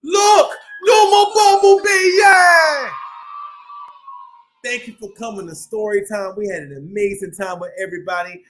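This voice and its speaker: A man's voice: high voice, measured pace, over loud music. A man hosting a read-aloud for young children, playful and exclamatory with a sing-song delivery. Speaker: children's storytime host.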